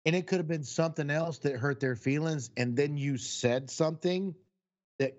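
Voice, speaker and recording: A man's voice: on the high side, normal speed, a little mellow. A man co-hosts a casual podcast, talking in an earnest, advice-giving way. His recording sounds slightly dull and lo-fi. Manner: earnest, gives advice to listeners. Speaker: podcast co-host. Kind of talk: casual chat.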